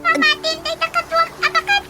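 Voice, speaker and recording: A woman playing a curious, excitable young daughter in an Ilocano radio comedy-drama, speaking with an Ilocano accent in an exclamatory way. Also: very high voice, fast. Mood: amazed, excited. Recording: music bed behind the voice.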